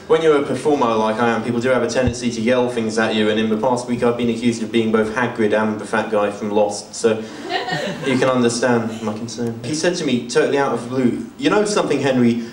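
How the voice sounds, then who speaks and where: A man's voice: mid-range voice, a little fast. A man doing conversational stand-up in a rambling, hesitant way. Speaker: stand-up comedian. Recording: echoey venue, distant mic, noisy.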